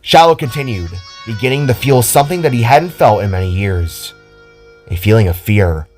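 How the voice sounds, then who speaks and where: A man's voice: medium pitch, lively intonation, moderate pace, a little mellow, soft background music. A man reading scripted fiction as a dramatic story narrator.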